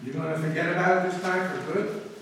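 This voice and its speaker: A man's voice: high voice, steady tone, fast, fairly bright tone. A man playing a skeptical, sardonic police lieutenant in a 1940s radio drama. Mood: matter-of-fact. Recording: echoey hall, distant mic, faint noise.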